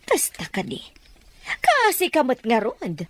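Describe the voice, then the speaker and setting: A woman's voice: sits high, wildly swooping pitch, measured pace, no room sound, exaggerated voice. A woman acting a teasing mother who gives advice, in an audio drama. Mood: advising. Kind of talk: Ilocano radio drama acting.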